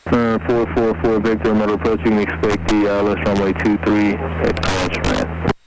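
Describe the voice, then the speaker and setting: Low-pitched male air traffic controller, heavy noise. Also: monotone, moderate pace. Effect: aviation radio transmission. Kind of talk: clipped radio air traffic instructions. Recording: very noisy aviation radio with engine drone.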